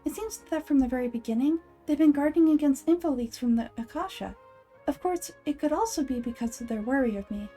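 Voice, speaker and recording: Woman voicing a soft, mellow, wise child goddess. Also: sits high, soft background music, lightly acted. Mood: thoughtful. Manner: measured, thoughtful, earnest. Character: Nahida, gentle wise child-like goddess, calm and earnest. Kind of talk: fan dub of anime game dialogue.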